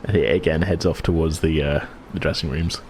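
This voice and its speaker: A man's voice: very deep voice, fairly even intonation, brisk, darkish tone, a little hiss or hum. A man acting as game master, improvising descriptive narration for a tabletop game in a hesitant, searching way.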